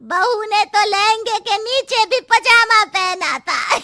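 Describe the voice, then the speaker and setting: A woman's voice: very high voice, sharp tone, no echo, studio quality, pitched above the performer's own voice, heavily acted. A woman voicing a nosy, gossipy neighbour auntie in a cartoon who mocks the family. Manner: gossipy, mocking.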